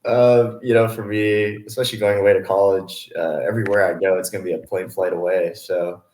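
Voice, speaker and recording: Deep-voiced young man in an echoey room. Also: level tone, fairly quick. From Hawaii, USA. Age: 20s. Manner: easygoing, mellow. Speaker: college baseball player, interview guest. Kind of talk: casual interview chat. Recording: roomy, echoing sound.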